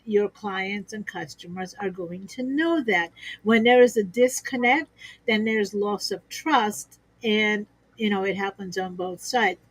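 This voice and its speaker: A woman with a fairly high voice talks through business partnerships in an upbeat, conversational way. She laughs easily and builds her points from examples. The sound is dry and close, as on a video call. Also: no room sound, poor audio. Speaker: expert guest on a business livestream.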